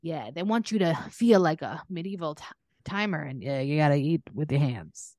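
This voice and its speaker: A woman's voice: low voice, lively intonation, moderate pace, a little mellow, no room sound. A woman co-hosting a podcast in casual conversation, reacting with dry understatement. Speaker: podcast co-host.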